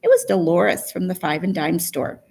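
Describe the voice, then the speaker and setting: A woman narrating a first-person story as an audiobook reader, in an expressive, storytelling way. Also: lowish voice, normal speed, gravelly, slightly rough.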